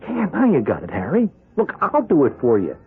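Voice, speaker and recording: An actor playing a pushy, cocky young small-time hoodlum in a radio drama, with an American accent and a wheedling, needling, slangy way of talking. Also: male voice, on the high side, huge pitch swings, a little fast, gravelly, husky, narrow, tinny audio, heavily acted. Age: young adult. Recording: band-limited radio drama recording with music.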